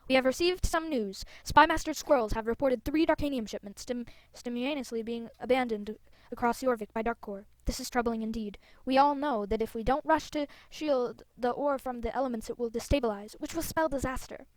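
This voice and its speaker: A woman's voice: fairly high pitch, a little fast, no room sound, lightly acted, rough recording. A grave, wise leader of fantasy riders in a video game, played by a woman. The manner is formal and solemn, the sound close and dry.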